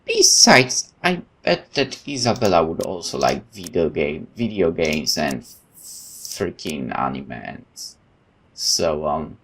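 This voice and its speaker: A man's voice: a bass voice, slow pace, husky. A man hosting a gaming video, expressively reading out game text and adding his own commentary. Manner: expressive, reads game text aloud with interjections. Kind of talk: let's play narration and commentary.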